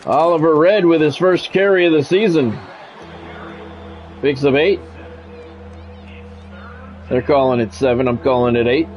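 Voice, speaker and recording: A man's voice: on the high side, a little slow, pauses often, a little mellow, muffled recording, faint music behind. A man calling a video game football match as a streamer, mixing announcer-style play-by-play with wry, grumbling, sometimes profane casual asides. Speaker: gaming streamer doing play-by-play commentary. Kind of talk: sports play-by-play commentary mixed with casual asides.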